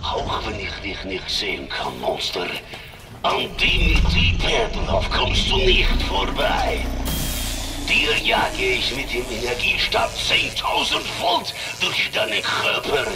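Man playing a hearty, gravelly space crewman, wildly animated. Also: mid-range voice, slow, full character voice. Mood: desperate defiance. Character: burly, jovial, brave space crewman. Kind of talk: German sci-fi horror radio drama acting. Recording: loud music behind the voice.

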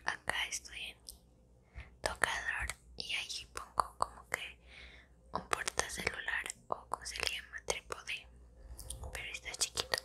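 A woman in her twenties with a Mexican accent speaks in a soft, breathy, intimate whisper, chatting casually in ASMR style close to the microphone. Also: extremely low pitch. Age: 20s. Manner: soft-spoken, intimate, unhurried. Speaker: ASMR video creator. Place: small indoor room at home.

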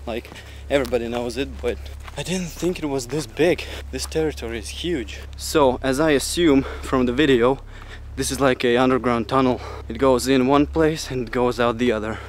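A young man talking casually to a handheld camera as he walks outdoors, sounding uneasy and halting, swearing as he goes. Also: mid-range voice. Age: young adult. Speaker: vlogger. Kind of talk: casual solo exploration vlog. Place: outdoors in woods, walking. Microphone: handheld camera at arm's length. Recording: noisy outdoor handheld recording.

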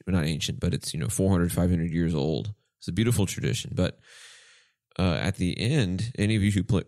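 A man's voice: sits low, a little mellow, no echo, studio quality. A man in his twenties on a casual podcast, telling a story in a thoughtful, explanatory way. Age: 20s. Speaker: podcast co-host.

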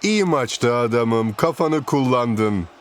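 Loyal cartoon buddy, medium-pitched voice, laid-back, casual dub delivery. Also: male voice, expressive, takes it slowly, soft background music. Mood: congratulating.

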